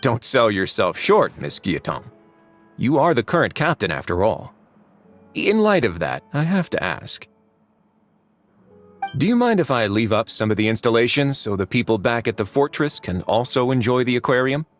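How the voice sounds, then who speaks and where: An actor playing a composed, wry duke who runs a prison in a video game, courteous and understated. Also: male voice, medium pitch, sing-song, moderate pace, faint music behind, close to an everyday voice.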